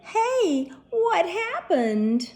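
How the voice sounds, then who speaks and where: A woman's voice: very high voice, very animated, very slow, darkish tone, voice pushed up, heavily acted. A woman voicing a sly, lazy male cartoon rabbit with a cocky drawl in a read-aloud storybook. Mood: panicked yelling.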